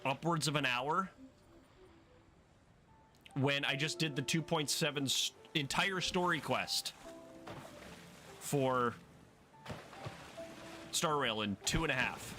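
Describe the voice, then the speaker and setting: A man's voice: mid-range voice, slow, long pauses, pushed and bright. A man giving casual gameplay commentary as a video game streamer. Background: game music.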